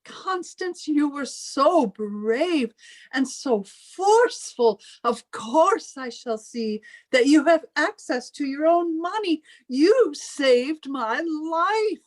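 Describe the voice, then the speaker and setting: A prim, protective spinster played by a woman with a very high voice and big swoops of pitch. She speaks slowly and formally, with the melodrama of old-time radio. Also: animated. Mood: gushing relief.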